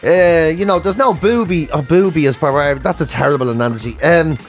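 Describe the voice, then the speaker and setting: A man's voice: on the high side, brisk, faint music behind. A middle-aged Irish man hosting a casual comedy podcast, stammering and acting out bits as he tells stories. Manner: animated, stammering, comic storytelling with acted-out bits. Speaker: podcast and radio host. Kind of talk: casual comic chat.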